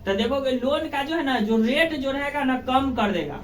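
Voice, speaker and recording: A man's voice: very high voice, expressive, moderate pace, slight background noise. A man with a Bihari accent teaching a class in simple colloquial terms, repeating phrases and asking prompting questions. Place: echoey classroom with pupils present. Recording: echoey room, distant microphone.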